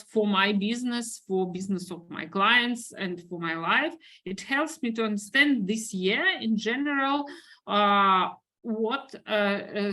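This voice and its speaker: A woman from Ukraine with a Ukrainian accent, giving a webinar talk over a video call, halting and full of fillers as she searches for words. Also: medium pitch, a bit bright. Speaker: guest speaker, business owner. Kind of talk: webinar presentation.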